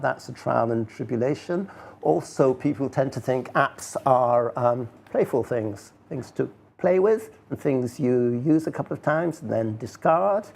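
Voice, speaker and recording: A man gives an informal public talk in a mid-pitched voice with a lot of gravelly creak. He is wry and rhetorical, thinking aloud as he explains things to his listeners. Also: a little mellow. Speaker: speaker giving a talk. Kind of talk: informal talk with audience questions.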